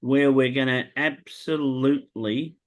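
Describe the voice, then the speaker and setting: An Australian man hosts a laid-back racing chat and talks up his tips like a salesman. His pitch sits mid-range, and he stumbles through plenty of "um"s as he goes. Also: a little slow. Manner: animated salesman pitch, many "um" fillers. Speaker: racing tipster host. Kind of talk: casual podcast chat about horse racing.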